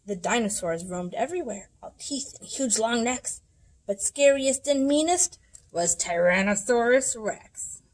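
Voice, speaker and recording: A woman's voice: on the high side, expressive, a little slow, rough recording. A woman in her thirties reading a rhyming picture book aloud expressively, with a sing-song delivery. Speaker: children's library storytime reader. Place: indoors in a library room.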